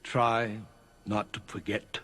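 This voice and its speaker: A man voicing a troubled, commanding Pharaoh in an animated Bible story, impatient and imperious. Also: lowish voice, slow pace.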